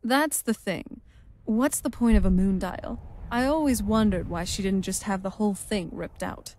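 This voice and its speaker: Wistful vampire noblewoman, mellow voice acted by a woman. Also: medium pitch, normal speed, natural voice. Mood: reflective. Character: wistful, daughterly young-looking vampire noblewoman. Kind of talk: video game character dialogue.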